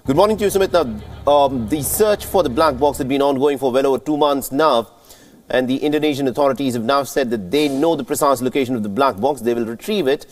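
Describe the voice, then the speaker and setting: A man's voice: mid-range voice, fairly quick, darkish tone. A man anchoring a live breaking news bulletin in a formal, wordy manner.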